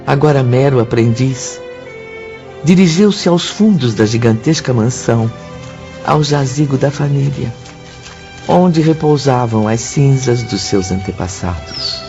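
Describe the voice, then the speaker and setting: A man narrating a radio drama in a measured, solemn, literary manner. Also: mid-range voice, warm tone, close to an everyday voice. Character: solemn male storyteller narrating a spiritist tale. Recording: dull sound with music behind.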